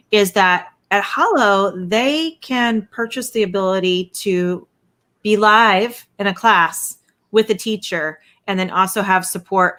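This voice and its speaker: A woman's voice: medium pitch, pushed and bright. A woman hosting an interview on a video call, explaining things plainly and encouraging her guest. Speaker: interviewer host. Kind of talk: casual interview talk.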